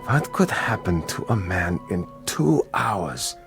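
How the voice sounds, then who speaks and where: Shaken bystander, swooping pitch. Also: male voice, very animated, slow pace, gravelly, exaggerated voice. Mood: pitying, bewildered. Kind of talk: dramatic radio play acting. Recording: radio drama with music underneath.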